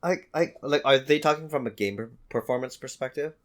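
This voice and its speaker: A man co-hosting a podcast in casual chat, halting and repeating words for emphasis. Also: medium pitch. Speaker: podcast co-host. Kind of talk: casual chat about video games.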